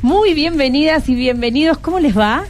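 Female radio host, Buenos Aires accent, high voice, chatty studio interviewing over music. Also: animated, normal speed. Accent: Buenos Aires Argentine. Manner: conversational, with frequent tag questions. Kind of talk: live radio presenting and interviewing. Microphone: studio microphone.

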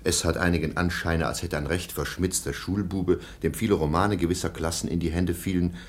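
A man's voice: low-pitched, steady tone, lightly acted. A man playing a stern, disapproving baron. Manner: formal, measured, disapproving. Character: stern middle-aged baron, guardian. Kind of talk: radio play acting.

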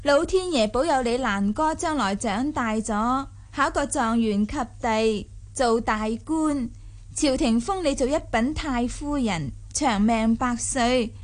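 An actress playing a sharp-witted young mistress of a noble house in a radio drama, playful and glib. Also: female voice, a little high, takes it slowly. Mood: mock flattery. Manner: playful, glib, mock-solicitous.

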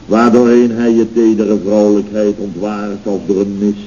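An actor playing a self-pitying, blustering boss of a building firm, pompous and melodramatic, in an old radio comedy. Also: male voice, lowish voice, flat delivery, normal speed, natural voice. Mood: maudlin. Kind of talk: 1970s Dutch radio comedy acting. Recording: dull, noisy old radio recording.

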